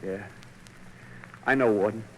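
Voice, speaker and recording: An actor playing a condemned young convict in an old radio drama, bitter and streetwise, with tough talk over fear. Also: male voice, on the low side, measured pace, close to an everyday voice. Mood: resigned. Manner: streetwise tough-guy slang. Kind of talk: 1940s radio drama acting. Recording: noisy old radio recording.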